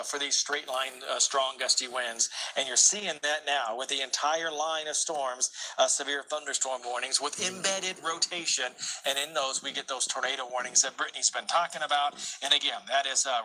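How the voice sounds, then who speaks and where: A man's voice: medium pitch, pushed and bright, rough recording. An American man working as a TV meteorologist, giving live unscripted severe weather commentary and explaining as he thinks aloud.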